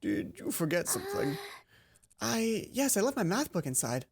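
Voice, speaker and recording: A performer voicing an anxious, excitable schoolgirl who scolds herself, in a visual novel game. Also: a little high, moderate pace, gravelly, husky, voice pushed up, heavily acted. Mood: nervous. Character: anxious, self-scolding schoolgirl sneaking out at night.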